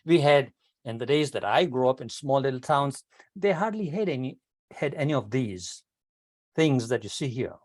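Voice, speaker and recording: An older man from Kenya giving a prepared speech at an online public speaking club, telling his story with rhetorical questions and addressing the audience as ladies and gentlemen. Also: mid-range voice, expressive, normal speed. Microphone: video call.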